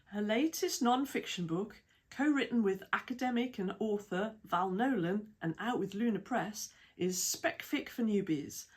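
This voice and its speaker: Breathy British woman reading an essay. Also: mid-range voice. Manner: measured, even reading with lists of titles and dates. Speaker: reader of an article. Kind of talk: read-aloud essay narration.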